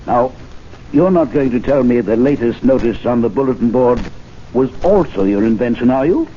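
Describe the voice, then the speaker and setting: An actor playing a pompous, hesitant gentleman club member in a 1970s radio drama. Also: medium pitch, expressive, normal speed, close to an everyday voice. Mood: haughty. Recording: dull old radio broadcast, faint hiss.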